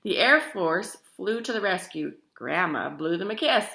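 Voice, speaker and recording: A woman reads a picture book aloud for children. Her voice is bright and very animated, and she is theatrical and emphatic, dropping in chatty asides. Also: on the low side, unhurried, gravelly. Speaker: storybook reader.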